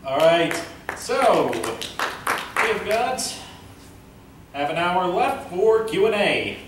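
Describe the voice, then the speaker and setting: Man in a very echoey room, halting. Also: high voice, big pitch swings. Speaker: panel host, web series creator. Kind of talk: informal panel Q and A. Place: convention panel room. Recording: very echoey room, distant mic, noise.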